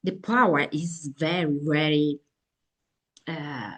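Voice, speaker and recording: Slow, halting woman interviewer. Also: deep voice, fairly even intonation. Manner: halting, searching for words in non-fluent speech. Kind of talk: interview questions.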